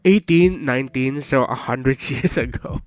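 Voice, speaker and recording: A young Filipino-accented man talks slowly and easily with his stream chat, laughing a lot and teasing viewers with playful curses. He sounds a little sick. The audio is dull and lo-fi, with soft music underneath. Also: medium pitch. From Philippines. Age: 20s. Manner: laughs often, teasing and jokingly profane. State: slightly sick.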